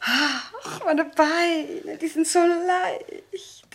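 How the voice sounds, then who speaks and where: A woman's voice: very high-pitched, drawn-out words, exaggerated voice. An actress playing a dreamy, tipsy woman who speaks in wonder, in a radio play. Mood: dreamy, elated. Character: dreamy, tipsy woman feeling light and floaty. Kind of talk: German radio play acting.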